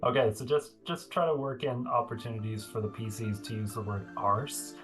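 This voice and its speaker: A man running a tabletop game as game master, chatty, laughing often and filling pauses with ums. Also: mid-range voice, fairly even intonation, music in the background.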